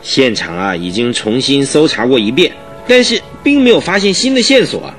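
A man's voice: medium pitch, very animated, normal speed, a bit bright, faint music behind. A man playing an indignant, stubborn police detective chief in a Mandarin radio drama, emphatic and argumentative. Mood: insistent.

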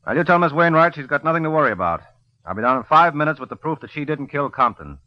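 An American man acting a wry, deadpan private detective in an old radio drama. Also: a little high, fast talker, natural voice. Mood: brisk, urgent. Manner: deadpan, wisecracking. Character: wry, hard-boiled male private detective, unflappable and flirtatious. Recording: dull vintage radio recording.

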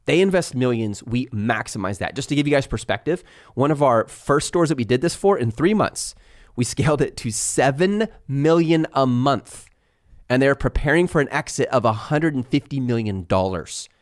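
A middle-aged man co-hosting a casual motivational talk, emphatic and animated as he tells stories. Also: medium pitch, normal speed. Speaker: podcast co-host, business owner.

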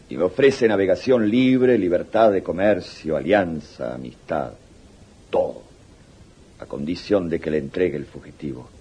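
An actor playing a suspicious, scornful supreme dictator in a radio drama, giving orders in a deliberate, imperious way. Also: male voice, low-pitched, dark tone, husky, heavily acted. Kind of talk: 1980s radio drama acting. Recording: muffled old radio broadcast tape.

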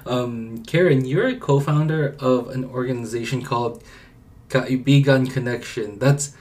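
A man's voice: mid-range voice, a little slow, darkish tone. Easygoing male podcast host. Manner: easygoing, hesitant fillers. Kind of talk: casual interview chat.

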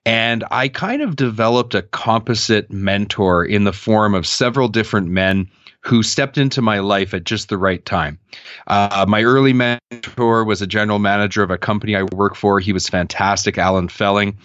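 Thirties male guest, earnest storyteller with a low voice and a dull, dry remote sound. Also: moderate pace, no room sound. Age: 30s. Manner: earnest, reflective, animated when quoting others. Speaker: podcast guest. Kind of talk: candid personal storytelling.

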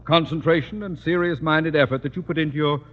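An American actor playing a pompous, stern middle-aged principal in 1950s radio comedy, with formal, clipped diction. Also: male voice, a little high, fairly even intonation, a little fast, in a large hall, close to an everyday voice. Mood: stern, officious. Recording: muffled old radio broadcast recording.

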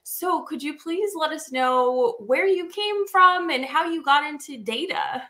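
A woman's voice: high voice, lively intonation. A woman hosting a podcast interview, giving encouraging short affirmations and asking about her guest's background. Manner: warm, encouraging, quick affirmations. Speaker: podcast host. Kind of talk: casual interview chat.

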